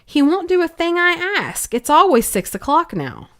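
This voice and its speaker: Storybook mad hatter, male figure read by a woman, mournful, quarrelsome, very animated. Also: sits high, normal speed, pitched above the performer's own voice, full character voice. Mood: aggrieved.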